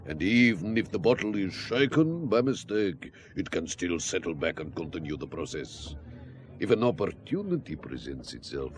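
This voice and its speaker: An actor playing a courtly, philosophical Russian intelligence officer in an audio drama, with a deliberate, florid manner. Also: male voice, low voice, very animated, a little hiss or hum. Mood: genial, courtly. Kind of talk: spy audio drama acting.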